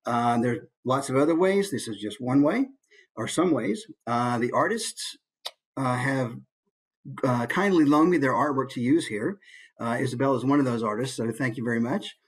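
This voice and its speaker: A man giving an informal online presentation in an easygoing, conversational way, with hesitant pauses as he goes. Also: mid-range voice, slightly rough. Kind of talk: informal online talk with slides. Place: online video call.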